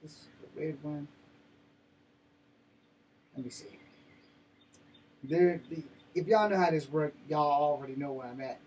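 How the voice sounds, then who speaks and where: An American man in his fifties talks off the cuff in a slangy, foul-mouthed ramble. His voice sits a little high for a man. He sounds set back from the mic, with faint noise around him. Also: steady tone, a little slow, long pauses, darkish tone. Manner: profane, blunt, slangy. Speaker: host of his own vlog. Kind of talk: rambling casual chat to viewers. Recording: noisy, distant-sounding mic with room ambience.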